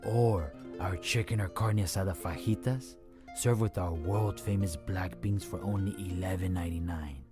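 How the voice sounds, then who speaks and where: A man's voice: deep voice, normal speed, over music, lightly acted. A man voicing a romantic seducer in a restaurant commercial, wooing the listener theatrically. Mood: seductive, inviting. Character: smooth romantic seducer inviting an escape. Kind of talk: restaurant commercial character acting.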